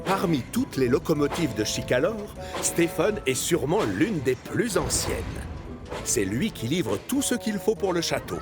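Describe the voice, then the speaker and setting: A man narrating a scripted children's story as an expressive storyteller. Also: fairly high pitch, measured pace. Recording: music behind narration. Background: music.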